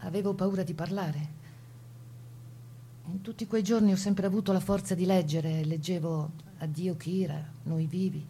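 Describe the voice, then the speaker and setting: An actress playing a captured partisan woman who recounts her ordeal in a grave, intimate, husky stage monologue. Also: lowish voice, steady tone, normal speed, mellow, slight background noise, lightly acted. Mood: haunted, fearful. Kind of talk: dramatic monologue of wartime testimony.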